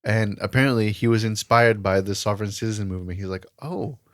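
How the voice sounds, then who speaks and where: A man's voice: fairly low pitch, fast talker, no room sound, clean studio sound. A man co-hosting a podcast in casual discussion, explaining things through examples and analogies. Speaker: podcast co-host.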